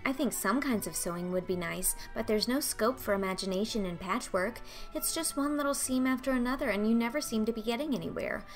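A woman voicing an excitable, dreamy young girl who talks in a breathless, gushing, dramatic way for an audiobook. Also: fairly high pitch, a little fast, hardly any pauses, faint music behind, lightly acted. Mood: dreamy chatter. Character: excitable, dreamy, talkative eleven-year-old orphan girl. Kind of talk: audiobook character acting.

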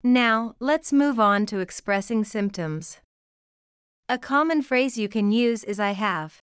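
A woman reading an English lesson, saying example phrases slowly and clearly. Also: a little high, animated, no room sound, clean studio sound. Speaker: English teacher. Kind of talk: scripted lesson narration.

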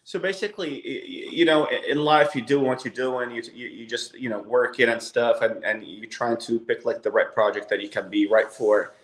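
A man in his thirties from Egypt with an Egyptian accent, a guest on a video-call interview, talking haltingly with many fillers and restarts. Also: mid-range voice, a bit bright. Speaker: actor and producer guest. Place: living room on a couch. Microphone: laptop webcam on a video call. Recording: echoey room.

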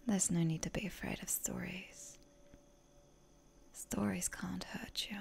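A woman playing a seductive, genteel lady vampire in a breathy, coaxing whisper for roleplay ASMR. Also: on the low side, steady tone, measured pace. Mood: reassuring, seductive.

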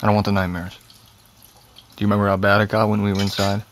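A gravelly young man played by an actor, an addict who is weary and stubborn. His low voice is slack and fatalistic, in a naturalistic audio drama style. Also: flat delivery, quick pace, slight background noise, lightly acted. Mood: bleak, dismissive. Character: weary, self-destructive young male heroin addict, pleading and stubborn.

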